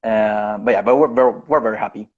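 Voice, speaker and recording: A man born in Chile, a guest winemaker speaking over a video call, unhurried and often restarting or correcting himself. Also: fairly low pitch, breathy edge. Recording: dull lo-fi video call audio.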